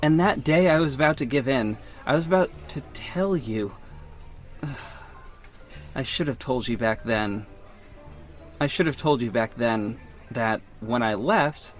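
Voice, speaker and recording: Man acting a wounded ex-lover, slow and earnest. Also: mid-range voice, lightly acted. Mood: pained, pleading, then bitter, wounded. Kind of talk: audio drama acting and first-person narration.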